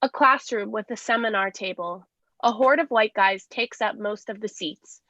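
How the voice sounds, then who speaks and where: A woman's voice: medium pitch, normal speed, sharp tone, no room sound. Woman as plain stage-directions narrator, dull dry sound. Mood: neutral. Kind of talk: scripted narration of a play.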